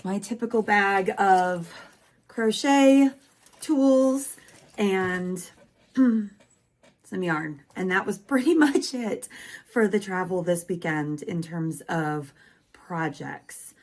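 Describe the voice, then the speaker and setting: A woman's voice: medium pitch, drawn-out words. A woman chatting casually in a home craft vlog, talking while she crochets, her voice worn from losing it. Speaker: crochet maker and small business vlogger. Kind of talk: casual rambling vlog chat.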